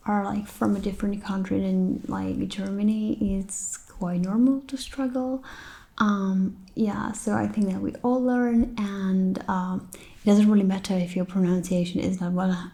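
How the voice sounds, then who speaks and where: Czech accent lady soft-spoken whispery asmr lesson, mellow and slow, lots of ums. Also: medium pitch, creaky. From Czech Republic. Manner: hesitant, many ums and likes. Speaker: ASMR host.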